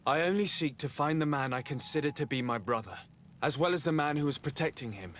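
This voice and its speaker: An actor playing a composed, diplomatic envoy in a video game, formal, measured and earnest. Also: male voice, on the high side, fairly bright tone, a little hiss or hum, lightly acted. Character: composed, diplomatic young male envoy seeking his lost cousin. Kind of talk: video game cutscene acting.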